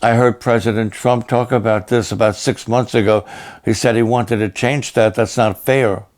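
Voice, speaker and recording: An older male pastor with a raspy, hoarse voice, talking plainly and haltingly to his supporters. He often pauses and repeats himself. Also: on the low side, fairly even intonation, darkish tone. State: hoarse after illness and a long program. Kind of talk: slow personal update to supporters.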